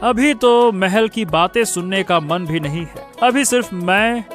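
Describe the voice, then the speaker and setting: A man's voice: high voice, unhurried, fairly bright tone, soft background music. A man voicing a romantic, attentive young husband in a cartoon story, dreamy and affectionate. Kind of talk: animated moral story dramatization.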